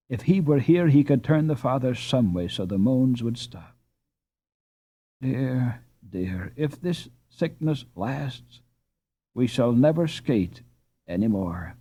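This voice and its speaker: A man voicing a poor young girl who is anxious and tearful, speaking softly and slowly. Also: medium pitch, breathy edge, heavily acted.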